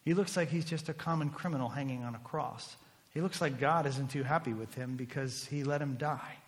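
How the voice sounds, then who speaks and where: A man preaching a sermon as a pastor, emphatic, swinging between loud declarations and hushed, intense lines. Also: mid-range voice, fairly quick. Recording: long hall reverb.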